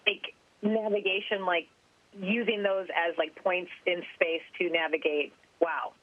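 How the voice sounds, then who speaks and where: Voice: mid-range voice, fairly even intonation, unhurried. Curious host on a tinny line. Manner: curious, chatty. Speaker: podcast host. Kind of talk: interviewing. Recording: tinny phone-like line, dry.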